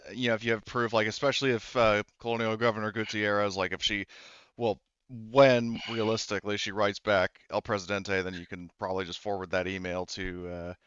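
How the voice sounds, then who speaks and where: A man's voice: fairly low pitch, level tone, brisk, a bit bright, clear, resonant tone, no echo, highs cut off. A man talking casually as game master of a tabletop roleplaying game.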